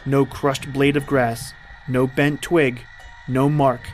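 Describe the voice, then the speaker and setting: An actor playing a tense, determined hunted man, narrating his ordeal gravely and deliberately as in an old-time radio drama. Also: medium pitch, level tone, close to an everyday voice. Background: background music.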